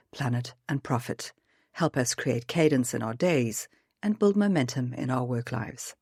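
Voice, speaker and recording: Woman host with a slightly gravelly voice. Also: low voice, a little mellow, dry recording, clean studio sound. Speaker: podcast host. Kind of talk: scripted podcast intro.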